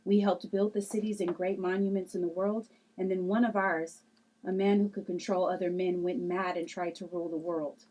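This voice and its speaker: A grave mother and keeper of old tales read by a woman. Her voice is on the low side, in a table read of a drama script. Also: little pitch movement, moderate pace, dark tone, lightly acted, poor audio. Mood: solemn. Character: grave mother recounting an ancient people's history.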